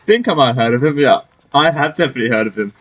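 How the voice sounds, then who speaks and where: A man's voice: mid-range voice, lively intonation, racing, a bit bright, breathy edge, rough recording. A man giving casual, offhand commentary as a gamer playing through a video game.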